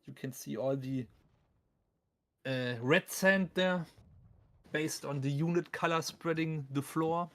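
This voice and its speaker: A man with a thick German accent casts a live game tournament. His voice sits a little high for a man, with a gravelly fry in it. He comments casually, joking and poking fun at himself while addressing the viewers. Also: slow pace, pauses often, fairly bright tone. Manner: self-deprecating, talks to chat and viewers.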